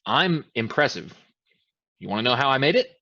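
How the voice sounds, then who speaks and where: An actor playing a cocky, domineering young man who brags about his riches in a staged play reading, with a husky voice. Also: medium pitch, big pitch swings, a little fast, sharp tone, dull audio, exaggerated voice. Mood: boastful. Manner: boastful, demanding. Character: cocky, domineering twenty-five-year-old man bragging of riches.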